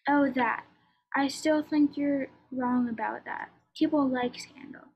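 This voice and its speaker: A woman's voice: high voice, unhurried. An actress playing a reluctant, wry English lady of title in a radio play, dryly sardonic, in slightly dull sound. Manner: dry, sardonic, evasive.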